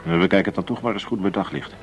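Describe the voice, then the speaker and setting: Low, gravelly, needling dealer. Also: male voice, fast, faint music behind. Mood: businesslike. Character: guarded male counterfeit dealer working for a gang. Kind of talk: Dutch radio drama dialogue.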